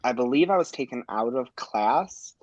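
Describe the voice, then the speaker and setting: A man in his thirties with a mid-range voice talks in a relaxed, halting way, stopping often for ums and restarts. The sound is dry and a little muffled, the way a video call sounds. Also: mid-range voice, no echo. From Michigan. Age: 30s. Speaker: co-host, campaign volunteer. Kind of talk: casual live-stream chat.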